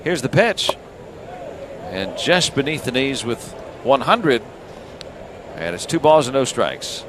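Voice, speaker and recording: An American man doing live radio play-by-play of a baseball game in short, measured phrases with asides between pitches. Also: sing-song, noisy background. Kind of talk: live sports commentary with ad-libbed asides.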